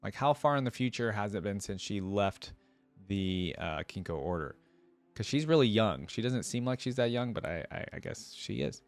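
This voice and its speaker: Guy doing casual reaction commentary, lowish voice, dry sound, curious musing out loud. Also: expressive, normal speed, no room sound, soft background music. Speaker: reaction video host.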